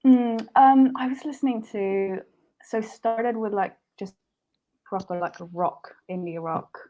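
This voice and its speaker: A woman's voice: a little high, slow, dark tone, low-quality recording. A young woman from Sweden, a singer-songwriter talking as a guest in a casual interview, chatty and full of restarts. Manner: chatty, many likes and restarts.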